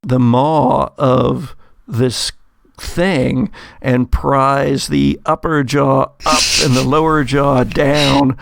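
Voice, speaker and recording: A man's voice: medium pitch, steady tone, very slow, gravelly, dry recording. A man running a tabletop game as game master, narrating dramatically with theatrical, florid phrasing. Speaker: game master of an actual play podcast.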